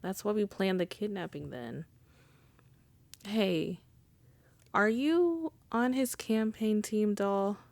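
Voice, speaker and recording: A woman's voice: mid-range voice, lively intonation, takes it slowly, long pauses, darkish tone, dry recording. A woman playing a wry, charming female mafia boss in an intimate ASMR roleplay, conversational and teasing. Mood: dry, sardonic, then exasperated. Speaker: audio roleplay voice actor.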